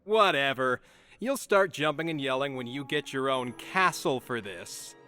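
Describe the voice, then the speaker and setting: A man's voice: mid-range voice, expressive, measured pace, pushed and bright, soft background music. A man playing a sardonic, dismissive young knight in an audio drama. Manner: sarcastic.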